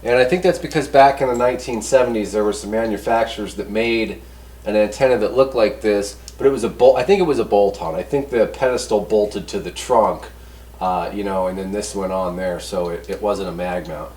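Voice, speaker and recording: A man's voice: on the low side, brisk, darkish tone. A man giving a casual hands-on product review as a host, talking in a folksy, rambling, easygoing way. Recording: roomy echo, distant mic, background noise.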